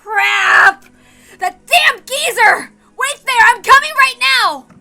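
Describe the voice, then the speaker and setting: A woman voicing a hot-headed, protective teenage boy in a visual novel reading, loud, urgent and exclamatory. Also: very high-pitched, slow, creaky, voice pushed up, heavily acted. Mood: frantic, furious. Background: music.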